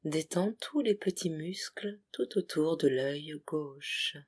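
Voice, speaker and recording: Very slow, breathy yoga nidra guide. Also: medium pitch, animated. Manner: soothing, hushed, with short separated phrases. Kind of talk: guided relaxation meditation.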